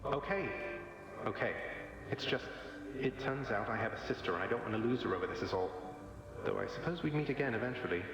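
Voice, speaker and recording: Wry young prince in a game, dry understated, super echoey dreamy reverb, music under. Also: male voice, mid-range voice, a little fast. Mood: wry, uneasy. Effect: heavy dreamlike echo and reverb. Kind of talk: scripted game acting. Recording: very echoey, long hall reverb.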